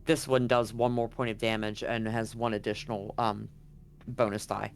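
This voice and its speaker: A man running a tabletop game as game master, casually explaining scenes and rules, halting and repeating words as he thinks, with slight background noise. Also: lowish voice, flat delivery, creaky.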